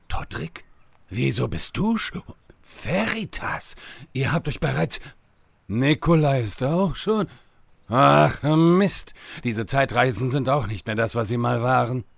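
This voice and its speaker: An actor playing a bumbling, impatient time-travelling wizard who stammers and rambles in a comedic audio drama. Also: male voice, medium pitch, creaky, dry recording. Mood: flustered.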